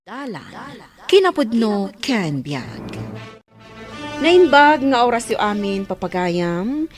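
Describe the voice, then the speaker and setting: Lady radio host reading scripture, very lively intonation, mid voice, soft music behind. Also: fairly high pitch, slow. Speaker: program host. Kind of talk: scripted devotional radio introduction with Bible reading.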